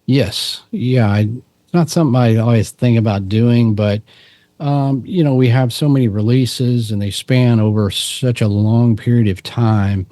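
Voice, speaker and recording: A middle-aged man from Texas with a low voice, chatting as a podcast guest in a relaxed, rambling way with frequent restarts. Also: unhurried, dry recording. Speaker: podcast guest, musician and cryptid researcher. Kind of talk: casual interview storytelling.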